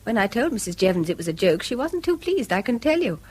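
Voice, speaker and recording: An actress playing a weary, exasperated middle-class housewife in mid-century British radio drama, with a brisk, clipped Received Pronunciation accent. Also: female voice, on the high side, very animated, a little mellow, a little hiss or hum. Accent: English Received Pronunciation.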